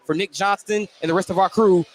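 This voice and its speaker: A man's voice: high-pitched, steady tone, quick pace. A man hosting a live sports radio broadcast as a play-by-play announcer from a gym. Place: indoor school gymnasium. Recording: some background noise of a gymnasium.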